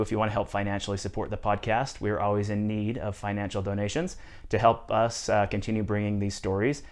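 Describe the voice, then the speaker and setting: A man hosting a podcast, reading the intro with many filler words. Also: on the low side. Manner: many "uh" fillers, upbeat delivery. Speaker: podcast host. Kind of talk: podcast host intro and interview.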